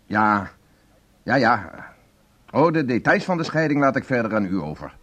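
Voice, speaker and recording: An actor in a radio play voicing an aggrieved, insistent writer with a husky voice. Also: male voice, medium pitch, big pitch swings, moderate pace, a bit bright. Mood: insistent, irritated. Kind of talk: Dutch radio play acting. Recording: dull lo-fi radio play recording.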